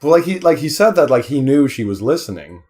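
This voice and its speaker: A man's voice: medium pitch, big pitch swings, fast talker, warm tone. A man giving casual, joking commentary on a video game as a let's play commentator.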